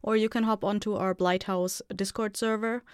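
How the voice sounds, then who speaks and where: A woman's voice: medium pitch, steady tone, normal speed, darkish tone, clear, resonant tone, no room sound, clean studio sound. A woman hosting her audio drama podcast, chatting informally and playfully to listeners. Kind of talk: casual podcast chat to listeners.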